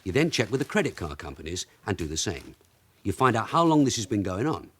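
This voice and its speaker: Sardonic, husky veteran detective. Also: male voice, on the low side, very animated, fairly quick, fairly bright tone, full character voice. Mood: brisk, instructing. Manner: dry, sarcastic, bossy. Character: shrewd, sardonic veteran London detective sergeant. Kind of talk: naturalistic radio drama acting.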